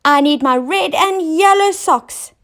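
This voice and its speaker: A woman's voice: high voice, measured pace, fairly bright tone, pitched above the performer's own voice. A woman voicing a kind, easily flustered king in a children's storybook, calling out anxiously. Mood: panicked. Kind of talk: children's storybook character reading.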